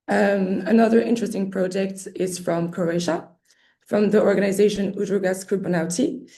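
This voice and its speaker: A woman losing her voice, presenting an arts award webinar over a video call, clearing her throat often and pausing on many fillers. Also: mid-range voice, mellow, poor audio. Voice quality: slightly hoarse. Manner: hesitant, many fillers and restarts. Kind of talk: explaining an application process. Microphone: computer mic on a video call.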